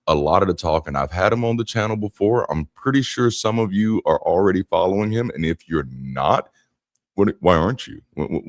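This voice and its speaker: Low-voiced host guy, jokey and laid back, dry close sound. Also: dry recording. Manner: playful, teasing, laughs often. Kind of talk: casual livestream chat.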